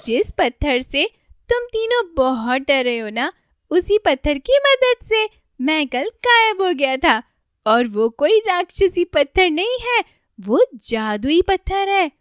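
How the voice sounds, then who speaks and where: Lady doing a cheeky little forest creature guy, super high voice, slow and insistent, cartoon style. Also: sing-song, dry recording, clean studio sound, full character voice. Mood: knowing, assured. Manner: assertive, teasing, insistent. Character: secretive, cocky male forest creature with magical stone. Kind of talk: children's cartoon dialogue.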